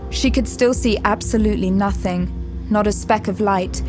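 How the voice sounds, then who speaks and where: Female narrator for audio drama: mid-range, measured, suspenseful storytelling with clear musical score. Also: mid-range voice, steady tone, over music.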